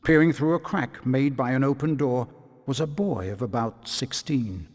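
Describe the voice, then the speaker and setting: Casting: male game narrator, mid-pitched, deliberate dramatic storytelling, reverberant recording.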